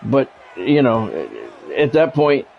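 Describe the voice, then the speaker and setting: A man's voice: mid-range voice, big pitch swings, takes it slowly, creaky, phone-quality sound, a little hiss or hum. A middle-aged man doing live football game commentary mixed with casual chat, talking in a blunt, profane, conversational way. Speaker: game streamer and play-by-play commentator.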